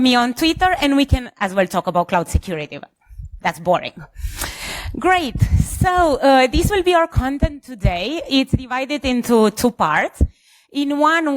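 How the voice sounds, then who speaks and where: Higher-voiced Romanian woman presenting. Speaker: security engineer, conference speaker. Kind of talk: conference presentation with light jokes.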